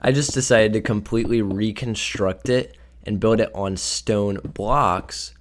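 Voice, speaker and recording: A young man with a slightly raspy voice from a cold, casually narrating his gameplay and sometimes tripping over his words. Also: lowish voice, fairly even intonation, unhurried. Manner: casual, offhand, trips over words now and then. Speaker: gaming video creator.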